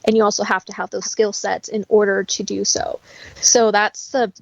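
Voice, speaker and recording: A woman's voice: medium pitch, level tone, moderate pace, gravelly, muffled recording. A woman talking casually and instructively, in a matter-of-fact way, as a guest who teaches practical skills. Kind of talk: casual conversational explaining.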